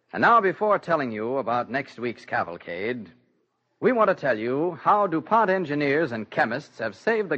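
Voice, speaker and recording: A man's voice: a little high, fairly bright tone. A man with an American accent reading a script as a formal 1940s radio announcer. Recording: muffled 1940s radio recording.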